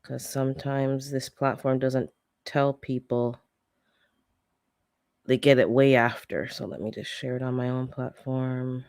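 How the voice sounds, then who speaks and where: A woman's voice: extremely low pitch, steady tone, a little slow, a little mellow. Stuffy-nosed chatty woman livestreaming. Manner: chatty storyteller, laughs often, greets chat by name. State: stuffy, congested nose. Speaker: gardening channel host. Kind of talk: casual livestream chat with viewers.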